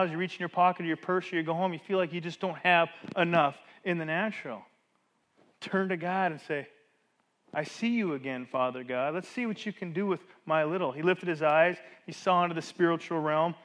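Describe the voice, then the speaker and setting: A man with a notably high voice leads a church sermon into a microphone. He talks to the congregation like a friend, full of rhetorical questions, and swings between loud emphatic phrases and quiet asides. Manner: voice rises and falls sharply for emphasis. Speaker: pastor.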